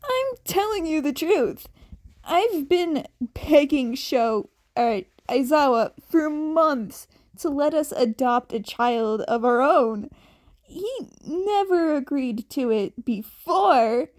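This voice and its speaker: Woman playing an upbeat, tender fatherly man, high-pitched. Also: expressive, takes it slowly, darkish tone. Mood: sincere, fond. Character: upbeat, affectionate, sincere adoptive-father man. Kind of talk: fan fiction audiobook character voice.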